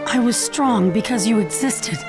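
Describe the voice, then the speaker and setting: A woman's voice: a little high, normal speed, natural voice. A woman voicing a brash, earnest teenage ninja boy in an anime video game, with a scratchy, boyish voice. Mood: reassuring. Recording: music behind the voice. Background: game music.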